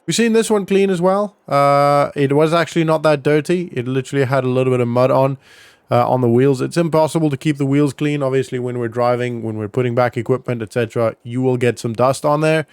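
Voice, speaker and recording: A man's voice: mid-range voice, brisk, darkish tone, smooth, no echo. A man giving casual, conversational roleplay gameplay commentary, explaining things as a gaming vlogger.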